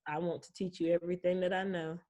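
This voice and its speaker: A woman's voice: on the low side, fairly even intonation, fast talker, dark tone. A woman speaking as a guest property manager, explaining things casually in an informal, reassuring, plain-spoken way.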